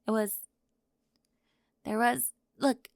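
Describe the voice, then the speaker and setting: A woman playing a high-strung, controlling teenage cheerleader, tearful and defensive, in an ASMR audio roleplay, flustered and emphatic with sighs and whispers. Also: steady tone, very slow, vocal fry, a little mellow, dry recording, studio quality, heavily acted.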